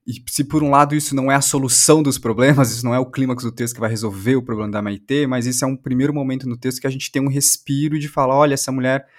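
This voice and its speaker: A man's voice: medium pitch, fairly even intonation, very fast, few pauses. A Brazilian man in conversation, offering analysis as an interviewer.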